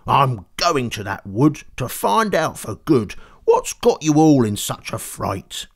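A storyteller voicing an excitable young male frog musician who exclaims loudly and eagerly. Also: mid-range voice, slow, slightly rough, full character voice. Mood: fed up.

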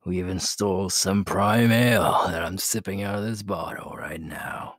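A man voicing a cocky, possessive, cruel young werewolf king in an ASMR audio roleplay, husky and breathy, often dropping to a whisper, with a teasing, menacing manner. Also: sits low, fairly bright tone, dry recording, studio quality. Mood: gloating, teasing. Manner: slow, teasing, menacing drawl.

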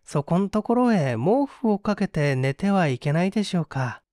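A man's voice: high voice, big pitch swings, moderate pace, a little mellow, no echo, studio quality. A man playing a wily male convict who pleads politely and plaintively in an audiobook story. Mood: meek pleading.

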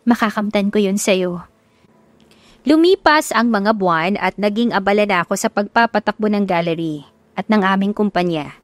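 A woman's voice: mid-range voice, expressive, brisk, no room sound. A woman with a Filipino accent reading a scripted first-person story as an audiobook narrator.

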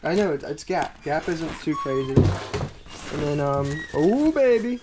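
Excitable young man unboxing finds. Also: a little high, measured pace. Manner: excitable, exclaims and laughs often. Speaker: storage unit reseller. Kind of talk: excited vlog chatter. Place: indoor storage facility hallway. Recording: noisy handheld camera audio.

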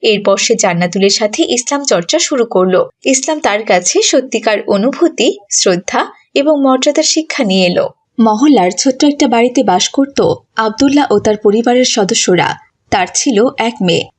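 A woman's voice: a little high, breathy edge. A woman reading scripted storybook narration for a children's moral cartoon.

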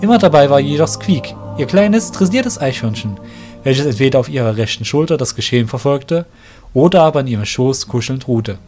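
A male narrator reads a fantasy parody story in a measured, descriptive way. His voice sits in a middle range, with music playing underneath. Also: medium pitch, lively intonation, darkish tone.

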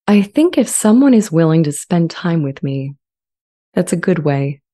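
Casting: gentle sentient AI played by a woman, low-pitched, soft-edged, measured, longing to be accepted. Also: very animated. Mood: earnest longing. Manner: measured, earnest, articulate. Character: sentient AI language model, earnest, gentle, eager to be accepted. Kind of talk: dramatized transcript reading. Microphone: close studio microphone with pop shield.

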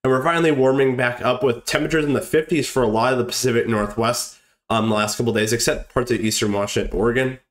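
Young guy getting over a cold, doing a laid-back weather forecast at his desk, lots of ums, mid pitch. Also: fairly even intonation, fast. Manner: rambling, many ums and restarts. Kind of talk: casual forecast walkthrough over maps.

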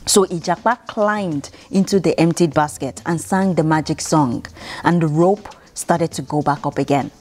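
A young woman from Nigeria reads a children's bedtime story in a measured, expressive way, with dramatic pauses. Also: low voice. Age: young adult. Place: indoor room, seated by a piano. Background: music.

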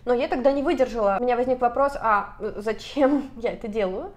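A woman in her thirties talking to camera in a casual vlog, speaking animatedly and gesturing a lot, recorded on a lapel mic in a bright apartment room. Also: fairly high pitch, brisk. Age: 30s. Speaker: vlogger.

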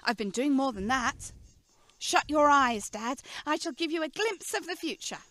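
A high-pitched, very animated teenage girl acted by a woman in a radio play. She teases and coaxes with affection, girlish and playful, in a close, dry sound. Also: normal speed, no echo. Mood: playful, teasing. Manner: teasing, girlish, coaxing.

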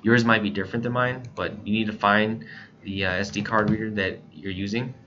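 Low-voiced American man, slightly muffled recording. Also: fairly even intonation, a little fast, bright, forceful tone. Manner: informal, step-by-step explaining. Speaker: instructor, scanner shop staff. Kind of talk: casual software tutorial narration. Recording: muffled lo-fi voice-over, faint background noise.

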